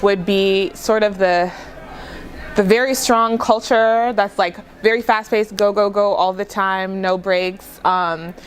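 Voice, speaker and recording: A woman's voice: mid-range voice, fairly even intonation, slow, a little hiss or hum. A young woman student answering casually in an interview, sprinkling her talk with the filler "like". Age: young adult. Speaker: university student.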